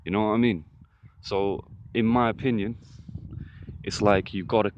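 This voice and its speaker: A man with a fairly deep voice talking to the camera in a casual vlog, earnest and encouraging, often saying "listen" and "trust me". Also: fairly even intonation, moderate pace, muffled recording, a little hiss or hum. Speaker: vlogger. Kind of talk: casual motivational vlog monologue.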